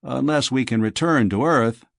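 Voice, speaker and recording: Reasonable male exile by a male narrator, measured qualifying delivery, middle register, close dry audiobook sound. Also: medium pitch, creaky, dry recording, natural voice. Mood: resigned.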